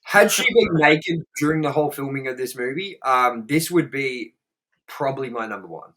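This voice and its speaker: A man co-hosting a podcast in loose, rambling casual chat. He swears freely and keeps circling back with fillers while he works out his point. His voice sits at an ordinary middle pitch. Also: lively intonation, normal speed, sharp tone, low-quality recording. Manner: rambling, profane, with lots of fillers. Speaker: podcast co-host.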